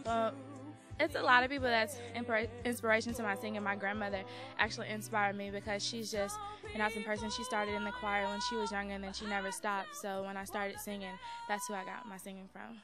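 Eager young woman over loud music. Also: mid-range voice, level tone, fairly quick. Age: young adult. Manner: eager and grateful. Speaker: talent show performer. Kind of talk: backstage interview. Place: convention backdrop, handheld mic. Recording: music playing behind.

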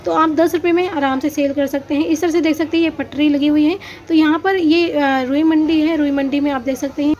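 A woman's voice: sits high, steady tone, brisk, hardly any pauses, a little mellow, muffled recording. A woman shopping vlogger giving a walking tour of an outdoor street market, talking chattily to viewers about prices with many hesitations. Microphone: handheld phone, camera pointed at goods.

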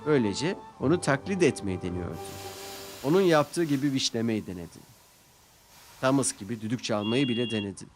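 A man's voice: medium pitch, lively intonation, brisk, music in the background. A man narrating a scripted children's story as a cartoon narrator.

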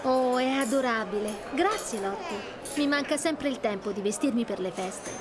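A woman playing a kind, hardworking young restaurateur in an animated video game, sincere and composed. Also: a little high, normal speed, very noisy, lightly acted.

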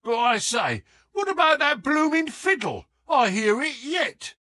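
A slow-witted villain's henchman for a classic storybook. He is blunt and loud, pitched high, with big baffled swings. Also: very animated, no room sound, clean studio sound, exaggerated voice.

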